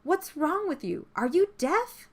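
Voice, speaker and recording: A woman's voice: extremely high pitch, sing-song, a little slow, pitched above the performer's own voice, full character voice. A woman voicing an arrogant, irritable young spirit girl with a haughty, snippy manner. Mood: irritated.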